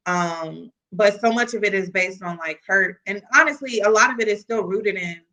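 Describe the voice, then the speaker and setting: A woman's voice: mid-range voice, normal speed, pushed and bright, poor audio. A young woman from the American Midwest, a podcast guest speaking earnestly and reflectively, with frequent "you know" fillers. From Kansas City, US Midwest. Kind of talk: casual conversational interview.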